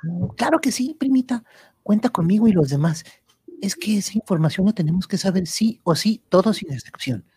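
A woman's voice: mid-range voice, animated, a little fast, mellow, husky, no echo, studio quality. A woman playing a helpful, earnest young boy in an amateur radio drama, speaking as if reciting.

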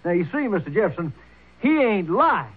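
Casting: slippery frontier sheriff, raspy, fairly high-set male voice, folksy and evasive, vintage radio sound. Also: sing-song, normal speed, phone-quality sound, heavily acted. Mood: sly, matter-of-fact. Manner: hedging, drawling colloquial talk. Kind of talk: old-time radio drama acting. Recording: band-limited old radio drama recording.

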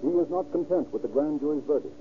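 A man narrating a scripted 1930s radio crime drama in a formal, measured, dramatic manner. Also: a little high, slight background noise, natural voice. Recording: tinny old radio recording.

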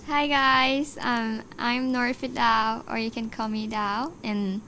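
A woman's voice: a little high, little pitch movement, slow, pushed and bright, clear, resonant tone. A nineteen-year-old woman from Thailand, a guest chatting casually on a podcast, with many fillers. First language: Thai. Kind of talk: casual interview chat.

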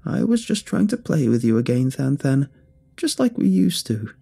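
A deranged old woman from a horror story, acted out by a male narrator. The voice is pushed up high, soft and crackling. It has a creepy sweetness with a lecherous, unhinged edge, over faint music. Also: sing-song, normal speed, vocal fry, slightly rough, full character voice. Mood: pleading, sweet.

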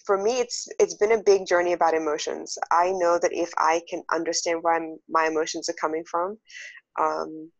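Woman podcast guest talking reflectively, lowish voice, dull lo-fi sound, no room echo. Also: flat delivery, normal speed. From born in Singapore, raised across several countries. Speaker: podcast guest, emotional intelligence coach. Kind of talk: casual, reflective interview talk. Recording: dull, slightly muffled lo-fi audio.

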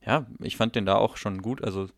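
A man's voice: fairly low pitch, fairly quick, no echo. A man chatting casually and enthusiastically about a film on a podcast, in loose colloquial speech. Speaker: podcast co-host. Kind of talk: casual film review chat.

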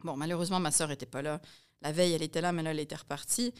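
A woman's voice: low voice, steady tone, racing, smooth. A woman with a Quebec French accent, telling stories in a chatty, self-mocking podcast monologue. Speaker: podcast host. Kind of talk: casual storytelling monologue.

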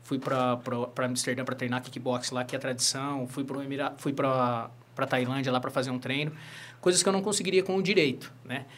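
Fast-talking Brazilian man, lawyer and fighter. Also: medium pitch. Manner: animated storyteller, frequent "né?" tags. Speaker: lawyer and jiu-jitsu teacher, guest. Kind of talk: casual interview chat.

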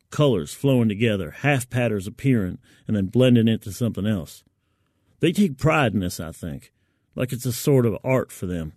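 A man's voice: mid-range voice, a little mellow, no echo, studio quality. A man narrating a first-person story in a colloquial, wry, folksy manner. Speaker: fiction narrator.

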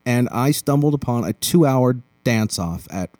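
A man's voice: mid-range voice, animated, normal speed, mellow, dry recording. A man hosting a casual interview, chatting in a teasing, joking way with lots of questions. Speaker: podcast host interviewing a guest. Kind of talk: casual chat.

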